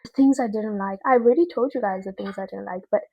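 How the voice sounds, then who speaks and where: A woman giving a casual, chatty video review in an expressive, slangy way with emphatic repetition. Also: medium pitch, fast, husky, dry recording, highs cut off.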